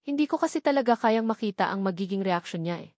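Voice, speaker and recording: A woman's voice: medium pitch, animated, fast, darkish tone, dry recording, clean studio sound. A woman with a Filipino accent narrating a romance novel as a dramatic scripted audiobook.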